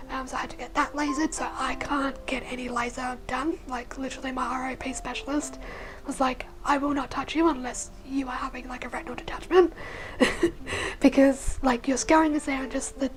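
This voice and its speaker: Young woman, husky hoarse voice, kinda high, goes soft and whispery a lot, music playing under it. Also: low-quality recording. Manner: soft, often drops to a breathy near-whisper; rambling, with many fillers like "like" and "you know". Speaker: vlogger sharing her life story. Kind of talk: casual personal storytelling.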